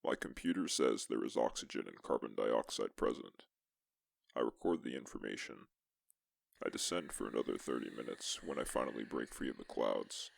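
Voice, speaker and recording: A man narrating as a lone astronaut explorer in a suspense science-fiction story, with a gravelly voice and measured, deliberate delivery. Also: a bass voice, animated, heavily acted. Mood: measured, solemn. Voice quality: gravelly, creaky. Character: lone male astronaut explorer recounting a tense exoplanet mission. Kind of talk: scripted first-person sci-fi story narration.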